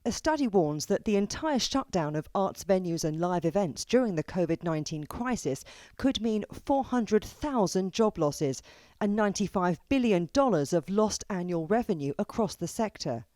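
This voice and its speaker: A woman's voice: medium pitch, animated, normal speed, warm tone, dry recording, clean studio sound. A woman reporter reading a scripted television news feature in a playful storytelling way.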